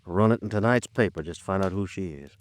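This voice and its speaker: Low-voiced, blunt police lieutenant played by a man. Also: fairly quick, no echo, lightly acted. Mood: brusque, needling. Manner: blunt, colloquial, questioning. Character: world-weary, plain-talking male police lieutenant. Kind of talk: old-time radio detective drama acting.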